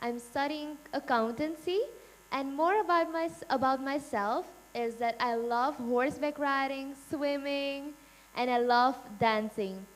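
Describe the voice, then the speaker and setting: A woman in her late teens with a high voice, talking at an unhurried pace. She introduces herself, then reads out fan questions, pausing and apologizing over the names. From Suriname. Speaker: pageant contestant and question moderator.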